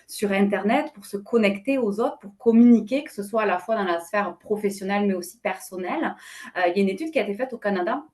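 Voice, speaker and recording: Woman, southwestern French accent, middle pitch, earnest, hesitant, many fillers, webinar talk, video call. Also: darkish tone. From France. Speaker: webinar panelist.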